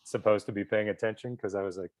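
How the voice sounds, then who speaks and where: A man giving casual feedback as a co-writer, searching for his words in a halting way. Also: on the low side, fairly even intonation, a little mellow, no room sound. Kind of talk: casual chat.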